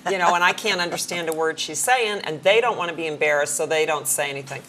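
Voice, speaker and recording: A middle-aged woman, a hearing care professional, giving friendly practical advice in a conversational talk show, sometimes slowing down to demonstrate clear speech. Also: sits low, a bit bright, slight background noise, poor audio.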